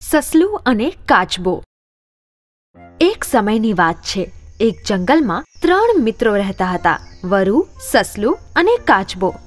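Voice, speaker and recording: A woman narrating a scripted children's story in an expressive storytelling manner. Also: mid-range voice, takes it slowly, soft background music.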